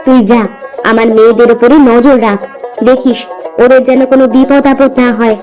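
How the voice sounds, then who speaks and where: A protective, anxious mother and lady of the house, acted by a woman in an animated tale. She speaks in urgent commands. Music is clearly heard behind her voice. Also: a little high, normal speed, close to an everyday voice. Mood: worried, urgent. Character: worried, protective mistress and mother. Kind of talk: animated moral story voice acting.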